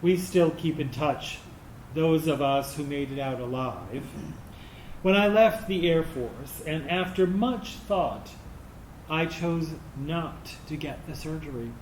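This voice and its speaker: Proud, free-spirited veteran played by a man, higher male pitch, slow emphatic delivery, roomy stage sound. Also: animated, a little mellow. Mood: reflective, proud. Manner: deliberate, emphatic phrasing. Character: self-accepting Air Force veteran, free and defiant. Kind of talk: dramatic monologue in a staged reading. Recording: echoey room, faint background noise.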